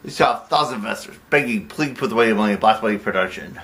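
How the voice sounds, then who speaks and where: Scheming male theatrical producer, acted by a man: forceful, blustering, bossy, broad comedy drama. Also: on the low side, fast talker.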